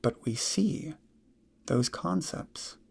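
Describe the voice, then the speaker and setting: A man guiding a meditation in soft, breathy, measured short phrases with long pauses, often trailing into a near whisper. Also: on the high side, expressive. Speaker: meditation guide. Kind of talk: guided meditation, slow instruction.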